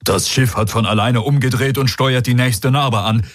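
A man's voice: mid-range voice, steady tone, normal speed, no echo, pitched above the performer's own voice, close to an everyday voice. A man voicing an alarmed spaceship crewman who reports urgently in a science fiction audiobook.

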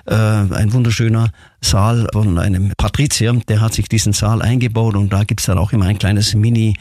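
A man from southern Germany speaking as an interview guest, a city tour guide telling stories in a halting, earnest way. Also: lowish voice, fairly even intonation, a little mellow, no room sound, studio quality. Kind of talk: casual interview storytelling.

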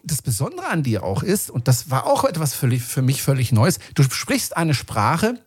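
A man interviewing a guest in a relaxed, chatty way. He laughs often and keeps things casual. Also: medium pitch, moderate pace, breathy edge.

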